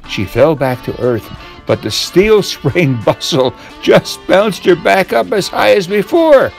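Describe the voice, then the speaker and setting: An older man reads a tall tale as a bedtime story, expressive and deliberate, drawing out key words. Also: mid-range voice. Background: guitar music.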